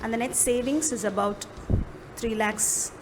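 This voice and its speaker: A woman's voice: fairly high pitch, steady tone, measured pace, low-quality recording. Indian woman narrating demo, music behind. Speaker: product demo presenter. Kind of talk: instructional software demo narration. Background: background music.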